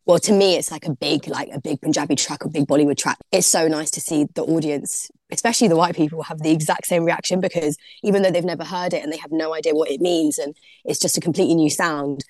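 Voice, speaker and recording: A woman's voice: low voice, fairly even intonation, fast, darkish tone, no echo. A young woman, a DJ guest on a podcast, chatting casually and filling her talk with "like". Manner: chatty, heavy use of "like", laughs easily. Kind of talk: casual interview chat.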